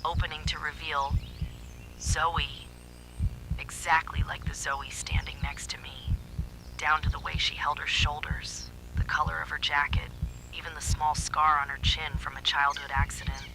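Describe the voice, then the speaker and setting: A man's voice: on the high side, little pitch movement, normal speed, vocal fry. A man narrating a scripted first-person horror story in a dramatic, suspenseful manner. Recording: faint background hum and noise.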